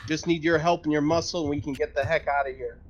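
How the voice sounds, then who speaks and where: A man's voice: on the high side, fairly even intonation, quick pace. A man playing a tabletop roleplaying game, casually speaking for his character. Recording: dull lo-fi mic, music behind.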